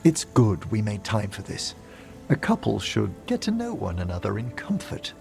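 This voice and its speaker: An actor playing a courtly, fastidious older gentleman scholar in a video game, speaking tenderly in formal, precise phrases. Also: fairly low pitch, huge pitch swings, gravelly, faint music behind, exaggerated voice. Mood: warm, concerned. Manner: genteel, formal, precise wording. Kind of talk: video game cutscene acting.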